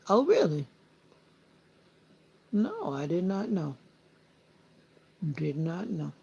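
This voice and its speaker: A woman's voice: lowish voice, wildly swooping pitch, slow, gravelly, a little mellow, breathy edge. A woman with a Southern US accent, chatting casually with viewers and laughing often while she trims her hair. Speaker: vlogger. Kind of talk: casual chat with live viewers.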